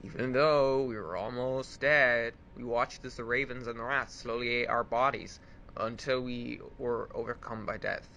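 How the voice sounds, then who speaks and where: A man's voice: mid-range voice, fairly even intonation, moderate pace, bright, forceful tone, muffled recording, a little hiss or hum. An actor playing the bitter ghost of a murdered man in a radio mystery, grave and accusing, with a tense voice. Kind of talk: radio mystery theatre acting.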